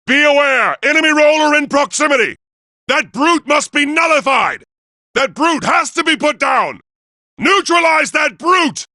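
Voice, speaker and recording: A man's voice: very high voice, sharp tone, dry recording, clean studio sound, full character voice. A man voicing a proud, noble robot leader in a video game, with a formal, declamatory and grandiose delivery. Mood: urgent warning. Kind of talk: theatrical video game voice acting.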